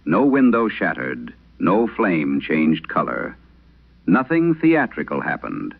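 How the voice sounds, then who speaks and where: Man playing a very deep, grave old priest. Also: huge pitch swings, gravelly, husky, phone-quality sound, heavily acted. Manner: grave, measured, dramatic pauses. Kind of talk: solemn first-person horror radio storytelling. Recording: band-limited vintage radio sound.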